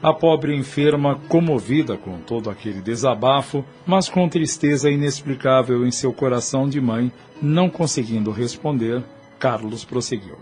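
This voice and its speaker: A male narrator with a Brazilian accent reads an audio novel. His mid-pitched voice is solemn and literary in its drama, with music faintly underneath. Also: moderate pace, a little mellow.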